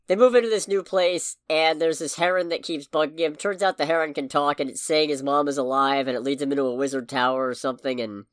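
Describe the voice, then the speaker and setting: A woman's voice: low-pitched, fast talker, hardly any pauses, no room sound, studio quality. A woman co-hosting a podcast, chatting casually about a film with wry, profane humor. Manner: wry, profane, lively storyteller. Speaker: podcast co-host. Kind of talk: casual movie review chat.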